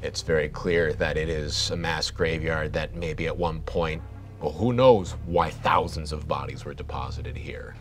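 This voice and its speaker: Deep-voiced man narrating horror. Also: a little slow, soft background music. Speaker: game master. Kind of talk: improvised horror narration for a tabletop game. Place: indoor studio set dressed as a bunker.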